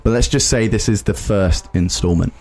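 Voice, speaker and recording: A man's voice: lowish voice, normal speed, a little mellow, breathy edge. A British man, a musician, being interviewed in a casual radio chat, matey and agreeable.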